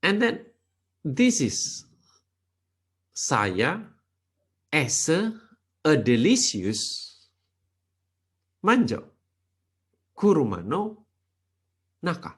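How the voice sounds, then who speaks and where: Slow, careful Indonesian-accented man. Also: husky. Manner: slow, careful, with non-native grammar slips; lively intonation with sudden pitch rises. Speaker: lecturer. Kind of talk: programming tutorial.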